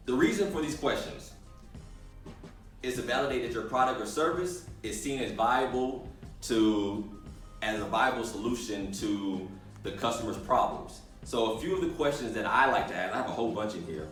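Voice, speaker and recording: A young man giving a casual motivational talk on entrepreneurship, telling stories and acting out voices. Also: lowish voice. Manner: animated storyteller, acts out voices in anecdotes. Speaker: entrepreneurship coach. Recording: echoey room, distant mic, music behind.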